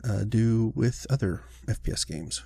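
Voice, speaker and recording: A man chatting through a gameplay session, rambling and relaxed with plenty of fillers. His voice is low, gravelly and husky, with a mellow, dark tone, and he is still sniffly from being sick. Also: measured pace, dry recording, clean studio sound. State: recovering from illness, sniffly, clearing his throat. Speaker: gaming channel host. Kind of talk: casual let's-play commentary.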